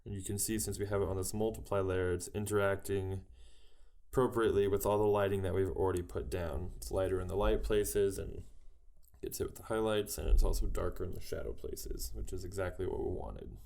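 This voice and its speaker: A man's voice: low voice, brisk, creaky. A man with a head cold, thinking aloud in a casual way as he teaches a digital painting tutorial. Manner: relaxed, musing aloud while working. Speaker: digital art instructor.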